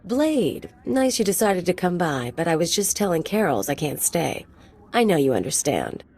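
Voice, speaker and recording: Video game femme enforcer: deep for a woman, husky, swaggering, flirtatious, menacing. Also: big pitch swings, normal speed, a little mellow, exaggerated voice. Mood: smug. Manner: sultry, taunting. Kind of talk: video game character acting.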